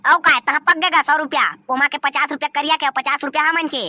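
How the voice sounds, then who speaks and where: A performer voicing a bossy, wily young cartoon shop partner, squeaky and scolding, with a Chhattisgarhi accent. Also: steady tone, brisk, pushed and bright. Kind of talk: comedy cartoon dub in Chhattisgarhi.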